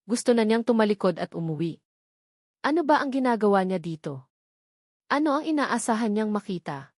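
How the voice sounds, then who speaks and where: A woman with a Filipino accent reads a dramatic story in a measured, solemn way, in short phrases. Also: medium pitch, a little mellow, no echo, studio quality. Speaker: story narrator. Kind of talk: dramatic scripted narration.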